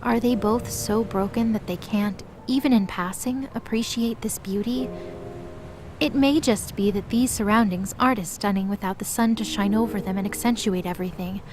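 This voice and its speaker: A woman's voice: mid-range voice, moderate pace, a crowd in the background. A woman reading scripted narration for a mythological audio drama. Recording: clearly noisy background.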